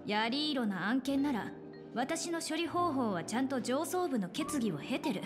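An actress playing an earnest, polite young businesswoman in a game, crisp in her delivery. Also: a little high. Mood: irritated, firm. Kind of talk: Japanese game voice acting. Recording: music behind the voice.

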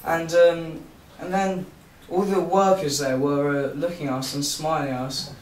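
A teenage boy tells a story to a small room, halting and restarting, his voice a little high. He is heard from a far camera with a roomy echo and faint ambience behind him. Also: flat delivery. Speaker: busker telling a story. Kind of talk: nervous live storytelling.

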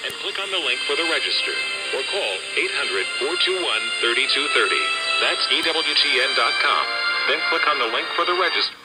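A man's voice: medium pitch, expressive, a little fast, loud music behind the voice. A man speaking on a religious radio broadcast, heard through a shortwave radio speaker.

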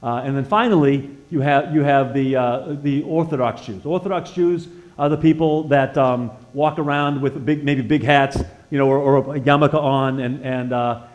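An American man in his sixties giving an informal sermon with personal testimony as a guest preacher in a church, conversational and halting, repeating words as he feels his way and putting questions to his listeners. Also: mid-range voice, fairly even intonation, dull audio. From northeastern United States. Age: 60s. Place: church sanctuary, indoors, at a lectern.

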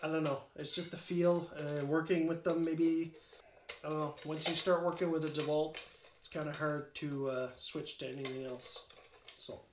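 A man's voice: on the high side, little pitch movement, moderate pace. A middle-aged man hosting a casual DIY home gym vlog, chatty and offhand with small jokes, recorded on a camera mic a few meters away in a cluttered garage. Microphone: camera-mounted mic, a few meters off. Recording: echoey garage room with faint noise.

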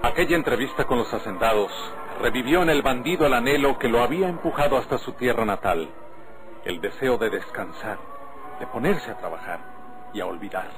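A man's voice: medium pitch, animated, a little fast, lightly acted. A man narrating an old radio serial solemnly and dramatically, with a Mexican accent. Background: loud music.

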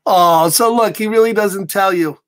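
Animated American man reading to children. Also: high voice, moderate pace. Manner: animated, exaggerated delivery for young children. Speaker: story time host reading to children. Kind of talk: reading a picture book aloud with chatty asides. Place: small home office, indoors.

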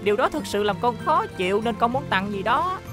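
Casting: an eager, sincere little boy for a cartoon dub, high voice, music underneath. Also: sing-song, brisk. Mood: apologetic, earnest. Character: earnest, eager young boy who loves his teacher.

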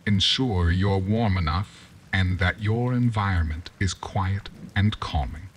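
Low-pitched man, very unhurried delivery, calm and soothing, scripted meditation narration, gentle instruction. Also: distant mic, slight background noise.

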